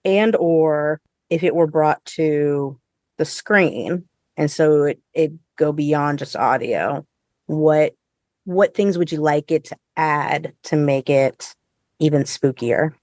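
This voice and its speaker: A woman with a low voice chats casually as a podcast co-host. She talks conversationally, peppering her sentences with "like". The sound is dry, with very little room. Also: slow, a little mellow, dry recording. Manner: conversational, frequent "like". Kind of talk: casual chat.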